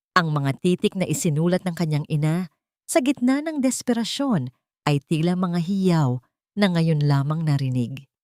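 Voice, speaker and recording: A woman's voice: on the low side, mellow, dry recording, clean studio sound. A woman reading a scripted, dramatic story as its narrator. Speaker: storyteller, narrator of love stories.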